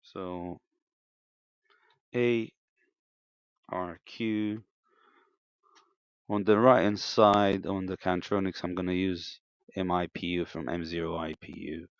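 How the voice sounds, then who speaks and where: A man giving an unscripted, step-by-step technical demonstration in a hesitant, halting way, in a slightly muffled room recording. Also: low-pitched, fairly even intonation, no room sound. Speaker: amateur radio operator demonstrating equipment.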